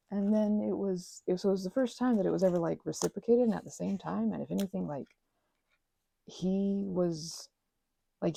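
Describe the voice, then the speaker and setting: A woman in her thirties chatting in a soft, rambling way, full of fillers and self-corrections, as an ASMR host. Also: sits low, gravelly, slightly rough, no echo. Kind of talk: rambling personal chat. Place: quiet room at a craft table.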